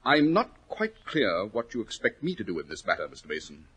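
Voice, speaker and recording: An actor playing a shrewd British gentleman detective, clipped and wry, in 1940s radio drama style. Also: on the low side, wildly swooping pitch, a little fast, slightly rough, full character voice. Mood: probing. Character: shrewd, dry, incisive English gentleman detective.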